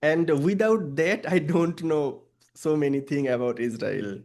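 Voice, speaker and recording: A man from Bangladesh with a Bangladeshi accent, a native Bengali speaker, pitching a service to a client on a video call in hesitant English full of fillers. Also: unhurried, darkish tone. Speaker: freelance service provider.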